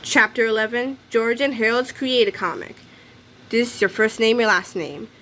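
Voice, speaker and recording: A woman reading a children's comic book aloud in an animated storytelling style. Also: fairly high pitch, moderate pace, slight background noise. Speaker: story reader.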